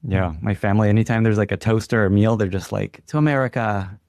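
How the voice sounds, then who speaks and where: A man from Ukraine hosts an interview in a fairly low voice with a gravelly edge. He frames his questions at length in a relaxed, conversational way. The recording is dry and close. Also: fast talker, dry recording. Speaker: podcast host. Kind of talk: casual interview talk.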